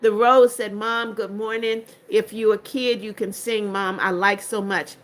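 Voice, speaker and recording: A woman's voice: medium pitch, fairly even intonation, a little slow. An older woman hosting a livestream, reading out chat comments and greeting viewers in a firm, strict way. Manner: strict, firm about blocking spammers. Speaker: livestream host.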